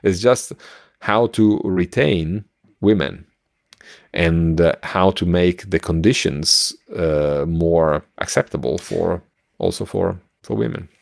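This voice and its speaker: Low-voiced middle-aged man, halting delivery. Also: creaky, dry recording, clean studio sound. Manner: halting, searching for words. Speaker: podcast co-host. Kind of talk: casual podcast discussion. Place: small room at home, indoors, video call. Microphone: close podcast microphone with headset.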